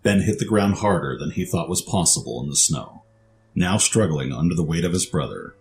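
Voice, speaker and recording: A man's voice: deep voice, expressive, a little fast, a little mellow, a little hiss or hum. A man reading scripted story narration for an audio drama.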